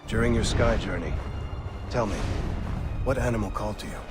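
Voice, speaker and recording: An actor as a very deep-voiced solemn warrior. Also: male voice, brisk, music in the background, lightly acted. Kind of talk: video game cutscene acting.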